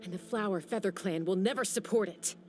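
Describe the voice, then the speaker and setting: An actress playing a bold, defiant young woman warrior, speaking in a lively, confrontational way. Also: medium pitch, brisk, lightly acted. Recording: game voice over music.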